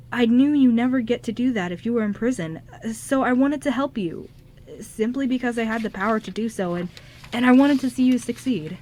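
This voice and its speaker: A woman playing a kind, nervous young man's ghost in an amateur radio drama, speaking in a halting, earnest way. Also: a little high, a little mellow, natural voice.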